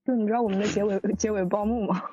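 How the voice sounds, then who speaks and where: A woman chats quickly in a mellow voice, hosting an informal group talk and drawing others in. The sound is dull and lo-fi, like an online voice chat, with music behind her. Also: medium pitch, steady tone. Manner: chatty, prompting others with questions. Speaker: host of a drama cast discussion.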